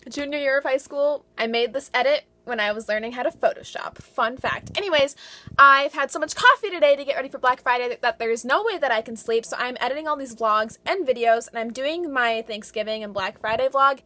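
High-voiced young woman vlogger. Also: lively intonation, brisk, sharp tone. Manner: chatty, self-deprecating, rambling. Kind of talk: casual chat to camera. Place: small bedroom in a basement. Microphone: camera at arm's length.

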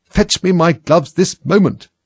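A man's voice: mid-range voice, very animated, fast talker, husky, dry recording, clean studio sound, voice pushed up. A man voicing an angry, imperious male rabbit who scolds like a fussy master in a storybook. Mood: angry, bossy. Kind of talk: storybook character voice.

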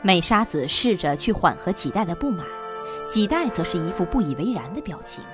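A woman's voice: lowish voice, big pitch swings, a little fast, over music. A woman reading scripted narration for a suspense audio drama.

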